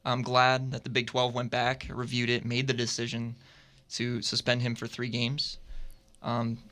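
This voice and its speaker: A man's voice: medium pitch, monotone, unhurried, a bit bright, no room sound. A man giving his take as a panelist on a roundtable sports radio show. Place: radio studio.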